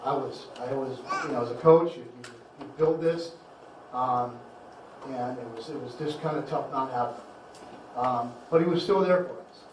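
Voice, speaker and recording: A man who coaches high school football, giving a heartfelt banquet speech, halting and repeating words as emotion catches him. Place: banquet hall. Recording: echoey hall, distant mic, faint noise.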